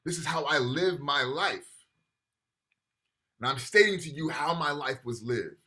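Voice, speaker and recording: A man's voice: on the high side, expressive, a little slow, sharp tone. A man teaching a university class over a video call, talking casually and often checking in with "right?" while reading texts aloud. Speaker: lecturer.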